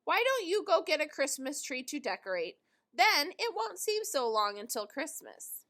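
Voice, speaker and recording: A woman voicing a kindly, patient storybook grandmother who is firm and gently coaxing. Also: high-pitched, sing-song, moderate pace, full character voice.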